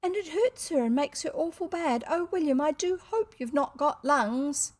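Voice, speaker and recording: A woman voicing a sweet little girl in a storybook, earnest, tender and wide-eyed, in a high girlish voice. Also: animated, normal speed. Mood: anxious sympathy. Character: sweet, innocent, anxious little girl next door.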